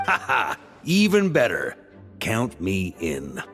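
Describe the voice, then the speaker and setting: Roguish young carouser, playful, coaxing, hugely swinging pitch, long hall reverb, game cutscene. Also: male voice, wildly swooping pitch, slow, a bit bright, faint music behind, full character voice. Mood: jovial, amused. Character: carefree, roguish young male drinker, playful and teasing. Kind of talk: video game cutscene acting.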